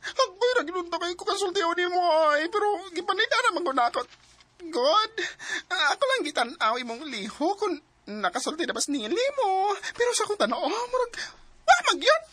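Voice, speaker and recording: An actress playing an excitable, gossipy but concerned young woman friend in a Cebuano Filipino radio drama. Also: very high voice, a little fast, heavily acted. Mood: hesitant, concerned.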